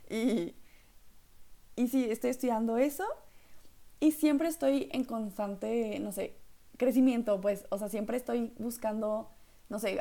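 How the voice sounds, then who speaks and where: A young woman from northern Mexico hosts her own podcast, speaking with a clear northern Mexican accent. Her voice sits a little high but has a mellow, gravelly fry to it. She talks in a loose, chatty way, circling back with fillers and asides. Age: young adult. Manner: chatty, rambling, lots of fillers like "literal" and "¿saben?". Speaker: podcast host. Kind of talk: casual solo podcast monologue.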